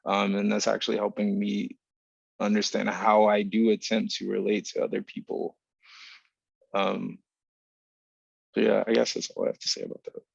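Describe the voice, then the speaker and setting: A man speaking reflectively as a writer on a panel, deliberate and halting as he searches for precise words. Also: fairly low pitch, monotone, creaky, breathy edge, no room sound. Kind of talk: reflective panel talk about craft. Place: remote video call. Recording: dull video-call audio.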